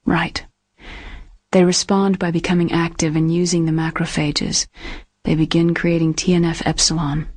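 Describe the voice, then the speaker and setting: An actress playing a sombre scientist in a video game, confiding in a husky, breathy voice and explaining precisely. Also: female voice, lowish voice, level tone, normal speed, a little mellow, dry recording, lightly acted. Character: sombre, secretive female scientist, calm and confiding. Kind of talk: dramatic video game voice acting.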